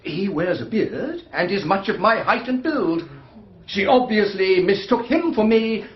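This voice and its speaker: A man's voice: high-pitched, animated, unhurried, roomy sound, muffled recording. A man playing a defensive, haughty British husband under suspicion in a radio drama, clipped and indignant. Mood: guarded. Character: stiff, defensive, haughty husband suspected of poisoning. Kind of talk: formal radio drama dialogue.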